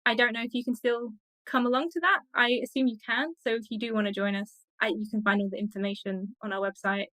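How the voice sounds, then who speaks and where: A woman's voice: fairly high pitch, steady tone, fast, bright, forceful tone, dry recording, low-quality recording. A woman speaking as an interview guest, chatting casually and enthusiastically like an academic who loves the subject. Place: indoor office on a video call.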